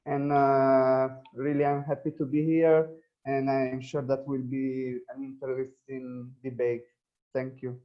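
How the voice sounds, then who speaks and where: A man's voice: medium pitch, level tone, unhurried, highs cut off. A man in his twenties from Italy giving a short, polite introduction on a video call. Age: 20s. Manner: brief, polite self-introduction.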